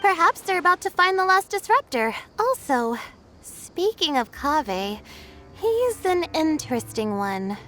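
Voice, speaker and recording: A young student girl in a video game with a very high, lively voice. She is gentle and reflective, pausing to think things through, over faint music. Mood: musing. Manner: musing, hesitant, reflective. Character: thoughtful young female student, hesitant and gentle.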